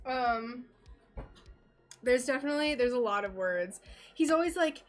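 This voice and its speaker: A woman's voice: on the high side, lively intonation, measured pace, a little hiss or hum. An American woman streaming her craft work, chatting casually and giggling, with a slightly worn voice. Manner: chatty, giggly, rambling with fillers. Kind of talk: casual chat while working.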